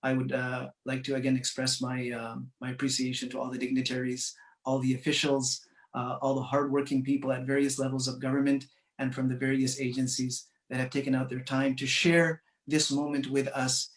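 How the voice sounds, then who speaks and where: A man from Canada giving an earnest, measured commemorative speech, pausing often with fillers. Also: medium pitch, monotone, roomy sound, recorded from a distance. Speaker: community speaker.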